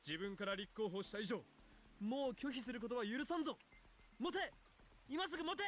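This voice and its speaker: Pompous, bossy, fast-talking young anime man. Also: very high voice, expressive, a little hiss or hum. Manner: commanding, haughty, declamatory. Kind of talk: anime visual novel voice acting.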